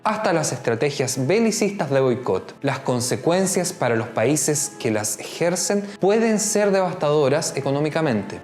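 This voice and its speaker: A narrator reading a formal script in a clear, declarative way. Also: medium pitch, darkish tone, roomy sound.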